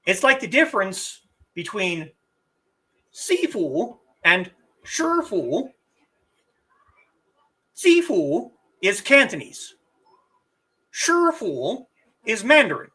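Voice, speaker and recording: Middle-aged martial arts teacher on a stream, super lively pitch, bright voice, chatting with viewers. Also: low-quality recording. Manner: animated, drawn-out demonstrations of word sounds. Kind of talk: casual live-stream chat answering viewer comments.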